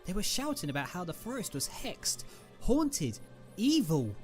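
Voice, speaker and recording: A man's voice: high voice, very animated, a little slow, soft background music. A man reading a scripted dramatic story as its narrator.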